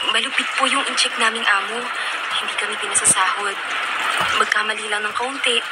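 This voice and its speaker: A woman's voice: mid-range voice, steady tone, fairly quick, natural voice. A Filipino woman playing a timid, polite and humble young runaway in a radio drama, apologetic and deferential. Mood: humble, earnest. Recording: very noisy radio drama recording.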